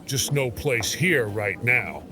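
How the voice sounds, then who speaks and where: A man's voice: medium pitch, lively intonation, slow pace, noisy background. A man playing a brusque medieval innkeeper in an audio drama. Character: brusque medieval French innkeeper, busy but informative.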